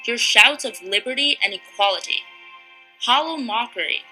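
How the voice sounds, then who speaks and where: A woman's voice: fairly high pitch, animated, normal speed, sharp tone, faint music behind, poor audio. A woman reading lines of a historic speech as solemn oratory.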